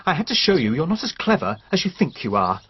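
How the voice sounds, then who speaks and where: An actor playing a resentful, unstable young husband in a radio mystery, intense and overwrought. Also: male voice, on the high side, big pitch swings, fast talker, slightly rough, lo-fi sound, exaggerated voice. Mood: agitated, bitter. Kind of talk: BBC radio mystery drama acting.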